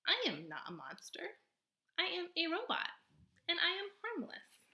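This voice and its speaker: A woman voicing an earnest, gentle female robot in a storybook, with formal, stilted, over-polite phrasing. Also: very high voice, huge pitch swings, measured pace, pitched above the performer's own voice, full character voice. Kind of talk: storybook character read-aloud.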